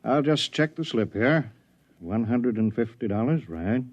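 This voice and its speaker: Fussy old-timey bank man on radio drama, super polite, keeps reassuring and repeating, medium pitch, dry sound. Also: animated, unhurried, dry recording. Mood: eagerly reassuring. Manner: chattering, repetitive, obsequiously polite. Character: fussy, over-reassuring male 1930s bank officer. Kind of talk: 1950s radio drama acting.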